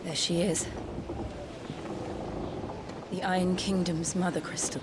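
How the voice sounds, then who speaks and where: An actress playing a grave, determined young woman in a video game, speaking in an earnest, measured way. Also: on the low side, fairly even intonation, heavy background noise. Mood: guarded. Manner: earnest, measured, solemn delivery. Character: grave, determined young woman, former captive seeking closure. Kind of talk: dramatic video game voice acting.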